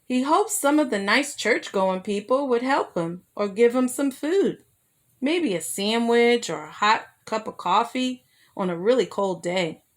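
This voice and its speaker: A woman reading a children's story aloud as a storyteller, in an expressive manner that draws young listeners in. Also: fairly high pitch, measured pace.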